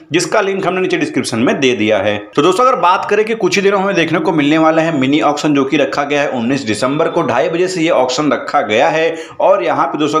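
A chatty male presenter speaks at a slightly raised pitch in a roomy, echoing space. He keeps turning to his viewers as friends and leans on the same stock phrases again and again. It sounds like a home-made voice-over for an online cricket video. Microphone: voice-over, not recorded in pictured place.

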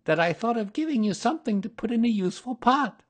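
Very high, timid little storybook voice. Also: male voice, expressive, unhurried. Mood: happy, timid. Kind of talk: storybook character reading.

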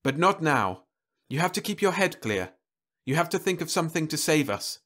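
A man voicing Louise, a calm determined woman. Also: a little high, moderate pace, voice pushed up. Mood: quiet, firm. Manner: quiet and steady.

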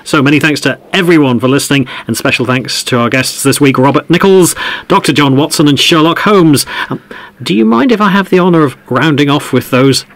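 A man's voice: on the high side, a little fast, fairly bright tone. A man playing a polite, wry football podcast host in an audio drama, with a presenterly manner. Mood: genial, presenterly. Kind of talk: conversational audio drama acting.

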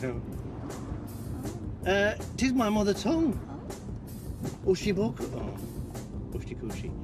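A man's voice: high voice, wildly swooping pitch, a little slow, music in the background. A man acting in a car scene, repeating a line over and over and breaking into laughter. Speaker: actor in a sitcom outtake. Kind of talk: comedy acting with retakes.

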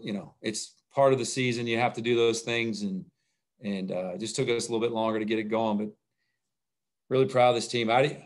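Low-voiced man at a postgame press call. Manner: plain, repetitive, restarts phrases. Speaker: head basketball coach. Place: indoors in front of a logo backdrop. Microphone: video call webcam.

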